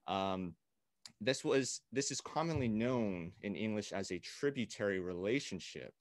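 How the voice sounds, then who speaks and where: A young man from Okinawa and Hawaii answers interview questions in a low, steady voice. He talks deliberately, stopping often on "uh" and "um". The sound is dry and close, like a video call. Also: no echo. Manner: measured, deliberate, with frequent "uh" and "um". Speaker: guest, peace activist.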